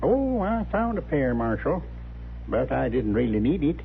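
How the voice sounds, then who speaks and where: An actor playing a chatty, obliging frontier storekeeper in a 1950s radio western, with folksy, rustic phrasing. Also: male voice, medium pitch, very animated, unhurried, gravelly. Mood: chatty, amused. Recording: tinny old radio recording, faint hum.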